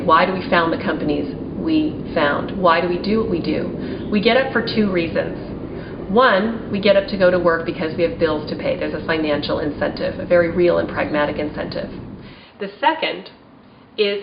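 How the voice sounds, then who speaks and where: A forty-year-old American woman giving prepared testimony as a small business owner, in an earnest, deliberate, formal manner. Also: fairly low pitch, creaky. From United States (Maryland), partly raised in Taiwan and China. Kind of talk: prepared advocacy testimony speech. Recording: roomy, distant mic, dull sound, faint noise.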